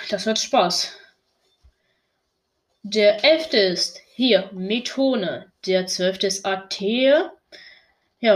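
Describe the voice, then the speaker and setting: A young woman reads out a long list at a slow, easy pace. She sounds casual and plain. Now and then she laughs at her own stumbling pronunciation. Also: medium pitch. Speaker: video host listing moons.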